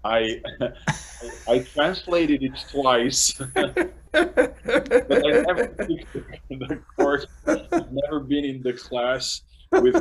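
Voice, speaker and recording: A middle-aged man with a Serbian accent, hosting a casual video-call interview in English as a second language, speaking haltingly with frequent restarts and small laughs. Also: medium pitch, slight background noise, low-quality recording. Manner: halting, restarts often, laughs between phrases. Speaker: interview host and course translator. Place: home room indoors. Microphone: webcam on a video call.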